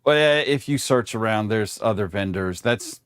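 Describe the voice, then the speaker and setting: A man's voice: on the low side, lively intonation, moderate pace, a bit bright, clear, resonant tone, no echo, clean studio sound. A man guesting on a livestream, explaining tech gear in a matter-of-fact, informal way. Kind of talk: casual explanatory tech chat.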